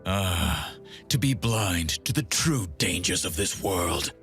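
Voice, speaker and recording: A man voicing a grim, solemn undead swordsman from a video game, speaking in a measured, dramatic way over music. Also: low-pitched, animated, fairly bright tone, exaggerated voice. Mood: weary gravity. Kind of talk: video game character voice acting.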